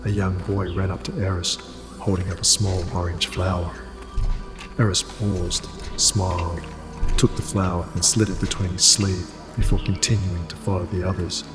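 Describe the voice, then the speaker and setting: A man narrates a scripted story in cinematic style. His voice is low and stays very even, trailing long reverb over a music score.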